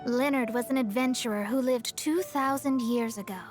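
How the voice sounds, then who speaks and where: A woman's voice: on the high side, moderate pace, natural voice. A woman playing a proud, self-assured young female astrologist in a video game, speaking in a haughty, articulate, explanatory way. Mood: explaining. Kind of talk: video game character acting. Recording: music behind the voice.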